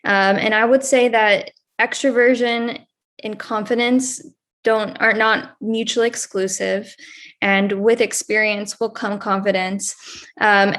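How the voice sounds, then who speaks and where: A quiet, soft-spoken woman, a second-year surgical resident, sharing measured advice on a panel. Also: mid-range voice, fairly even intonation. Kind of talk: panel discussion.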